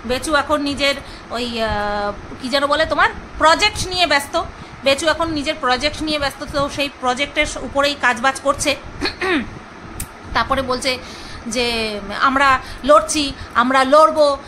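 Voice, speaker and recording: A woman's voice: high-pitched, moderate pace, pushed and bright, a little hiss or hum, rough recording. A woman doing casual gossip commentary as a video blogger, scolding and mocking, hammering points home by repeating phrases. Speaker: video blogger commenting on other online personalities.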